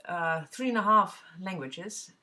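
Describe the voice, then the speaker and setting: A woman's voice: on the low side, unhurried, bright, forceful tone. A middle-aged woman from southwest Germany with a German accent, chatting casually to camera as a book vlogger, with frequent fillers and restarts.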